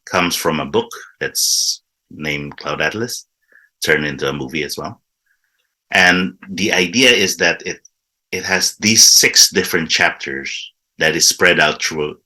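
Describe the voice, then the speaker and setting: Guy, super low voice but bright, chatty and keen, restarts a lot. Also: unhurried. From Indonesia. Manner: enthusiastic, restarts words often, explanatory. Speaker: improv teacher, guest. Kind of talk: casual interview chat.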